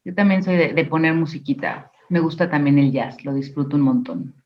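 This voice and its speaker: A woman hosting an interview over a video call, talking in a slow, reflective, agreeable way. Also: low-pitched. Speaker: interview host. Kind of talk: casual interview chat. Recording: dull lo-fi video call audio.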